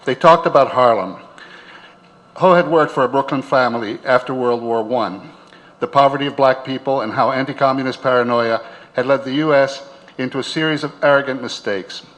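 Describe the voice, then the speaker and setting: A man's voice: mid-range voice, fairly quick, a bit bright, poor audio. A man narrates a documentary in a mid-range voice. He reads prepared narration as the storyteller who links the program together. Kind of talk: scripted narration.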